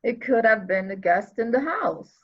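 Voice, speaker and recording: A folksy, opinionated junior police officer played by a woman grumbles through a murder mystery reading, deferential to the boss but dour. The voice is fairly high, on a slightly muffled lo-fi recording. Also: expressive, moderate pace, husky. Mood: suspicious. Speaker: actor in a library's dramatic reading. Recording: slightly dull, lo-fi audio.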